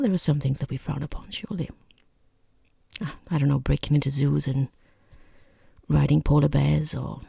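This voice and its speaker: Woman acting breathy, half-whispering rude boss. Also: low voice, mellow, no room sound, heavily acted. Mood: uneasy, disapproving. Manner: dry, sarcastic, hesitant politeness. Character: rude, condescending female boss interviewing a hapless job applicant. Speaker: job interviewer. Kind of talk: soft-spoken ASMR roleplay.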